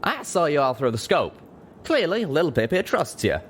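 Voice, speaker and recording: An easygoing pegasus stallion voiced by a male reader talks in a raised, animated voice. He is folksy and friendly, a storybook cowboy on a noisy recording. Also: a little high, moderate pace, pitched above the performer's own voice. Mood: warm, trusting. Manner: folksy, grinning. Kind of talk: audiobook character voice.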